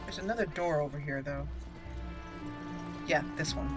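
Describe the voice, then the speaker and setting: A woman's voice: deep voice, moderate pace, loud music behind the voice. A woman hosting a roleplay let's play, chatty and playful, thinking aloud as she goes. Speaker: gaming host.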